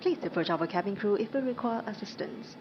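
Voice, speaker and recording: Very loud aircraft noise surrounds a woman making a scripted cabin crew announcement. Her voice is mid-pitched and comes through slightly dull, with limited high end. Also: lively intonation, brisk. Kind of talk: live scripted passenger announcement.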